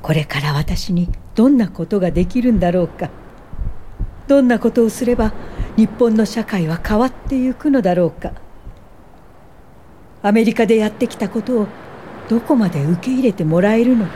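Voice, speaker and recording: An older woman, an actress in the role, narrates an earnest memoir for a radio drama. Her voice is mellow and soft-edged at a middle pitch, with faint noise behind it. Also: lively intonation, moderate pace, long pauses, close to an everyday voice. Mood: anxious. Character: earnest Japanese woman social worker recalling her life. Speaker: narrator and lead actor.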